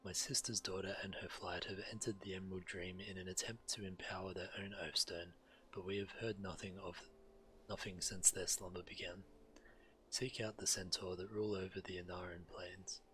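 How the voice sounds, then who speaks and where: A man's voice: low voice, level tone, moderate pace, bright, forceful tone, a little hiss or hum, poor audio. A man who streams games, reading fantasy quest dialogue aloud. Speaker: game streamer. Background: game music.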